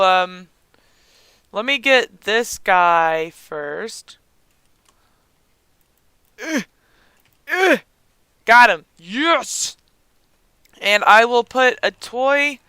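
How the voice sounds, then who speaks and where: A gaming host with a hoarse, raspy, squeaky voice, chatting casually over gameplay with a lot of giggling and rambling ums. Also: bright, forceful tone, no echo. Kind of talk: casual let's-play commentary.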